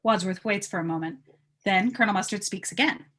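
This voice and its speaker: A woman's voice: mid-range voice, brisk, fairly bright tone. A woman reading out stage directions as scripted narration at a live table read.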